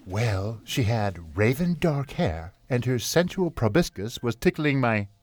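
A man's voice: medium pitch, big pitch swings, measured pace, no room sound. A man playing a flippant, lecherous starship first officer in a comedic radio play, with a breezy, hammy delivery. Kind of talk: comedic radio theatre parody acting.

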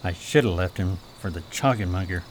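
A woman's voice: very deep voice, brisk, a little hiss or hum, low-quality recording. A woman playing an earnest, anxious and awkward young prince in a radio play. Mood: friendly. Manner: earnest, excitable, hesitant when shy. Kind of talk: radio theater acting.

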